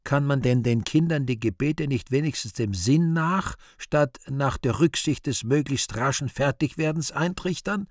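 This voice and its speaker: A man's voice: mid-range voice, normal speed, few pauses, no room sound, studio quality, close to an everyday voice. A man playing a regal, condescending and easily appalled Virgin Mary in a radio play, in a genteel, exclaiming manner. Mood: appalled, fussy.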